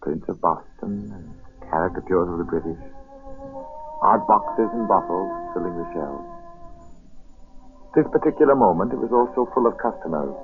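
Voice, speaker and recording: A man's voice: deep voice, expressive, moderate pace, long pauses. A man narrating a scripted 1940s radio drama. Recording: muffled vintage radio, faint music.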